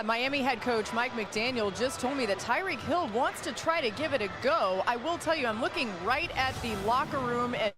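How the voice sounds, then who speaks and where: Woman reporting from noisy sidelines. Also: on the high side. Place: on the field at a stadium. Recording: sideline broadcast with clear stadium noise. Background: stadium noise.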